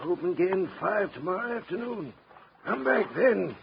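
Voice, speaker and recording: An actor playing a cranky, sarcastic old janitor in a 1950s radio drama, grumbling in slang with a cracked, gravelly voice. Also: male voice, sits high, lively intonation, moderate pace. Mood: irritable, gruff. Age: older. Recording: dull, noisy vintage radio recording.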